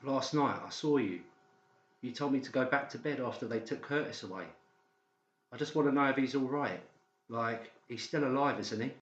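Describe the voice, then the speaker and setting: A man's voice: mid-range voice, normal speed, echoey room, dull audio, natural voice. An actor playing a troubled, sheepish male psychiatric patient in a horror audiobook, hesitant and pleading. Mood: timid, worried. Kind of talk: dramatised horror audiobook dialogue.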